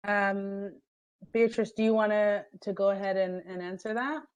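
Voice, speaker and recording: A woman from the admissions office answering questions on a video call, steady and helpful. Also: medium pitch, clear, resonant tone, no echo. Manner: steady, helpful, slightly halting. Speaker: admissions staff.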